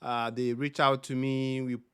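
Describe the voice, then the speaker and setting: Dry-sounding interview host, mid-pitched man. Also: little pitch movement, moderate pace, fairly bright tone, smooth, no echo. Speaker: podcast host. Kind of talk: interview conversation.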